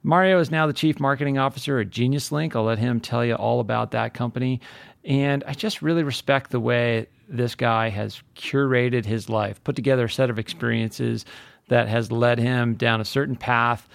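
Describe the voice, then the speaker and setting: Gravelly-voiced upbeat podcast host man. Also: medium pitch, steady tone, normal speed, no echo. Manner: upbeat, chatty, with many fillers. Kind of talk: casual podcast interview.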